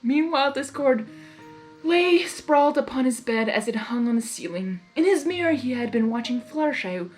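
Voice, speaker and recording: High-pitched, animated woman reading a story. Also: moderate pace, faint music behind. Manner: giggly, expressive. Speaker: fan fiction reader. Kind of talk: animated read-aloud narration with reactions.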